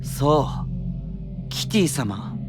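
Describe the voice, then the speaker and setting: An actor playing a sly, needling young knight mage who can turn commanding, in a fantasy audio drama. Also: male voice, medium pitch, big pitch swings, drawn-out words, over music. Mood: calm, thoughtful. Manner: playful needling that turns forceful and commanding. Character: young male knight mage, sly, taunting, relentless interrogator. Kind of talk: anime-style fantasy audio drama acting.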